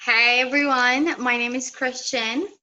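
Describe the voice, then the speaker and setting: A woman's voice: fairly high pitch, fairly even intonation, unhurried, pushed and bright, highs cut off. A young woman from Ethiopia, an Amharic speaker, chatting earnestly as an interview guest. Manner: open and earnest, light laughs. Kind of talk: casual interview chat.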